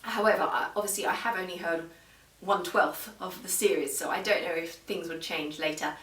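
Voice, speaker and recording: A woman with a slightly low voice talks viewers through a review in an informal, explanatory way. She speaks in a measured fashion. The room adds a clear echo, and she sounds set back from the microphone. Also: steady tone, a bit bright. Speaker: video reviewer. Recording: roomy echo, distant microphone.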